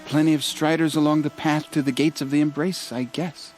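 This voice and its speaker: A man playing a gentle, admiring young tribesman in a video game, speaking softly and hesitantly. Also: fairly high pitch, flat delivery, a little fast, faint music behind, lightly acted. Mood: earnest. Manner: soft, hesitant, earnest. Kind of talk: video game cutscene acting.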